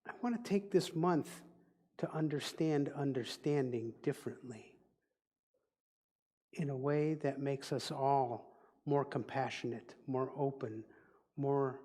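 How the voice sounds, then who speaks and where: A man with a Dutch accent, a native Dutch speaker, giving a reflective sermon as a minister in a deliberate, teaching manner. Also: medium pitch, dark tone, husky. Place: church sanctuary. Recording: long reverb of a large hall.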